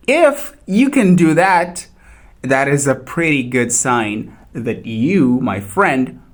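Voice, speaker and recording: This native Portuguese speaker gives an English lesson at an unhurried, careful pace. The pitch rises and falls expressively. Each point is spoken straight to the listener. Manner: slow, deliberate, addresses viewer directly. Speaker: English teacher. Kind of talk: instructional talk to learners.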